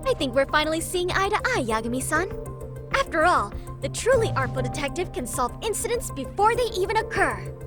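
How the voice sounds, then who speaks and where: A woman's voice: very high-pitched, big pitch swings, brisk. A woman playing a precocious, confident teenage girl detective in a video game, speaking with theatrical, formal self-assurance. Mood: confident, earnest. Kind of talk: English video game voice acting. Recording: music behind the voice.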